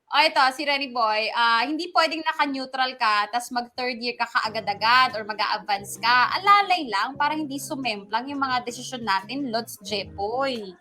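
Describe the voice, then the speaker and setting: A woman's voice: a little high, animated, normal speed, bright, forceful tone. A woman with a Filipino accent co-hosting a radio show in a casual, playful, joking way. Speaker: radio co-host. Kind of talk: casual radio banter, reading out messages and credits.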